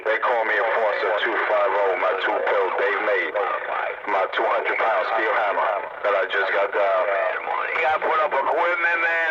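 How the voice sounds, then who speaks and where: A man transmitting as a CB radio operator in casual, slangy banter, boastful and repeating himself. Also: lowish voice. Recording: noisy muffled CB radio with vehicle sounds.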